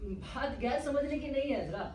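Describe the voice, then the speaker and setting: An actor playing a dreamy, stubborn young elder brother in love in a stage play, with dramatic, flowery delivery. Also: male voice, extremely high pitch, moderate pace, a little mellow. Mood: dreamy, resolute. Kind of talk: Hindi-Urdu stage play acting. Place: stage of a theatre hall. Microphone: far from the actors. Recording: echoey hall, distant mic, faint noise.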